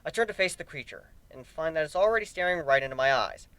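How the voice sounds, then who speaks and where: A man's voice: a little high, lively intonation, fast, sharp tone, clear, resonant tone, dry recording. A man narrating a first-person story dramatically, as an amateur reader.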